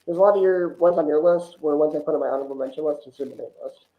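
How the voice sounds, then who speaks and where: A man's voice: a little high, racing. Man in an echoey, muffled recording. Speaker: podcast panelist. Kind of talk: casual film discussion. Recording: echoey room, muffled lo-fi sound.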